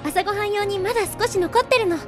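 A woman's voice: extremely high pitch, quick pace, music in the background. A woman playing a sweet, easily wounded young girl in an amateur comedy radio drama, with a cutesy, polite, melodramatic manner. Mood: sweet, cheerful.

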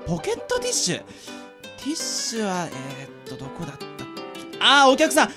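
A man's voice: sits high, wildly swooping pitch, fairly quick. Guy as a blunt snarky young cake seller, radio drama, voice moves around a lot, some music under. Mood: exasperated. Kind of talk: amateur Japanese radio drama acting.

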